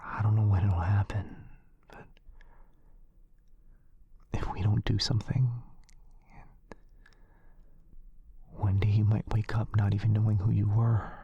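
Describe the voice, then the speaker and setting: Breathy, whispering gentle wizard, acted by a man. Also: lowish voice, dull audio, heavily acted. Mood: grave, hesitant. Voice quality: soft, breathy, often whispering. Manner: tender, halting, apologetic. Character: gentle male wizard, frightened and protective of his companion. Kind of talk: boyfriend ASMR roleplay.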